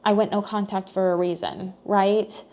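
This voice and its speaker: A young American woman chats to her camera as if to a friend, mid-pitched and unforced. She rambles and restarts, piling on fillers as she works her thoughts out aloud. Her voice lifts at the ends of questions. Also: fairly even intonation, moderate pace, a little mellow. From United States. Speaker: vlogger. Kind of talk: casual personal vlog.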